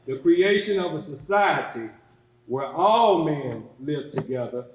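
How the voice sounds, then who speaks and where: An earnest civil rights era preacher played by a man delivers a stage sermon in deliberate, oratorical phrases. His voice sits fairly high for a man and rings in an echoey hall. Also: expressive, a crowd in the background. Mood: solemn, exhorting. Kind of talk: staged sermon in readers theatre.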